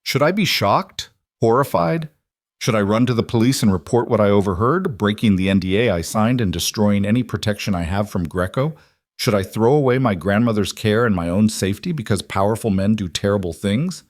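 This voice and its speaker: A man's voice: on the low side, lively intonation, a little fast, no echo, studio quality. Tense, defiant young woman interpreter with clipped, controlled lines, read by a male narrator.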